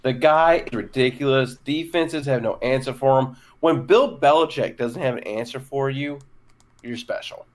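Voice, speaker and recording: Man, podcast host, mid-range pitch, casual chat, halting with lots of uhs. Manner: hesitant, stumbling with many fillers.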